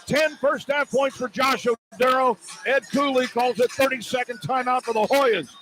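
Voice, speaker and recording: A man's voice: very high-pitched, moderate pace. A man calling live basketball play-by-play on the radio from an indoor arena. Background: clear arena noise.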